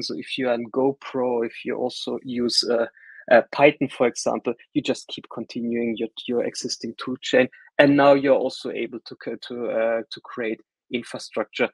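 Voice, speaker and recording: A middle-aged man with a German accent leads a technical webinar over a video call. His voice is slightly husky and sits in a middle pitch, recorded dry and close with no room sound. He talks casually, with plenty of fillers and false starts. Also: no room sound, poor audio. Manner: conversational, frequent fillers and restarts. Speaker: webinar presenter.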